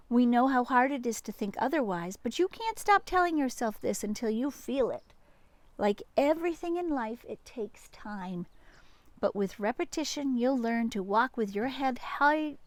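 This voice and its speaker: A woman reading a picture book aloud to young children in an expressive way, pausing to talk to her listeners. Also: fairly high pitch, dry recording. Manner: animated, pauses to address the young listeners.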